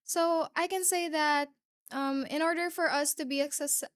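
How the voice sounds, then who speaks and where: A nineteen-year-old Filipino woman with a Filipino accent, a pageant winner answering interview questions in a measured, careful way with small fillers. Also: high voice, steady tone, no echo, studio quality. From Philippines. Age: teens.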